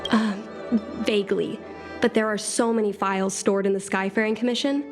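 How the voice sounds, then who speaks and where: An earnest young girl from a video game, voiced by a woman. She speaks at a middling pitch, candid and a little hesitant, over faint music. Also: steady tone, normal speed, lightly acted. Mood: hesitant, hopeful. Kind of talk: scripted video game dialogue.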